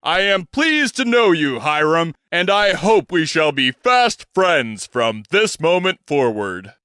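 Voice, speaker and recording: A man's voice: on the high side, big pitch swings, takes it slowly, bright, forceful tone, clear, resonant tone, dry recording, studio quality, exaggerated voice. A man voicing a pompous, grandiloquent older male shop proprietor who speaks in flowery, old-fashioned phrases. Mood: grandly formal.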